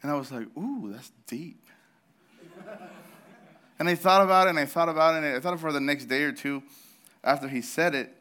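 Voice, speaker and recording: A man's voice: on the high side, a bit bright. A man in his thirties preaching a sermon in church, telling a story with laughs and restarts, his throat slightly sore. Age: 30s. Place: church service.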